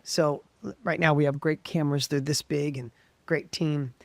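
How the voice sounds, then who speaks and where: A middle-aged man from the United States telling his story as an interview guest, with a high voice, animated and full of quick restarts. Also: creaky, dry recording. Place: professional studio.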